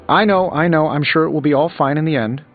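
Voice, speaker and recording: An actor with an American accent playing a cocky, witty billionaire inventor superhero in a video game, with glib, sardonic delivery. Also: male voice, fairly high pitch, quick pace, phone-quality sound, lightly acted. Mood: chatty, joking. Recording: band-limited audio under game music.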